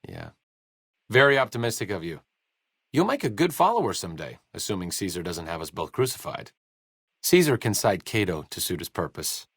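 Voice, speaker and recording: A bookish, self-deprecating video game doctor, speaks in a low, closely recorded voice. His delivery is sardonic and erudite, rising and falling freely as he makes his dry understatements. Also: wildly swooping pitch, a little fast, a bit bright, husky, dry recording, full character voice. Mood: wry. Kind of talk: video game character dialogue.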